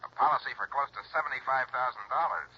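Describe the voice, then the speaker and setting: A man's voice: medium pitch, fast talker, husky, lightly acted. An actor playing a businesslike male insurance company official in a 1960s radio drama, urgent in manner. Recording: dull old radio recording, faint hiss.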